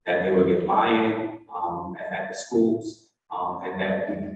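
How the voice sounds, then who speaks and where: A man with a low voice delivers a formal presentation in the manner of a school administrator explaining policy. He sounds far from the microphone, and his words ring through a large, very reverberant meeting room. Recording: very echoey, reverberant hall, distant mic.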